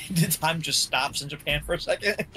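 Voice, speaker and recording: A man co-hosting a podcast in casual chat, joking and animated. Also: on the high side, moderate pace, soft background music, poor audio. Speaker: podcast co-host.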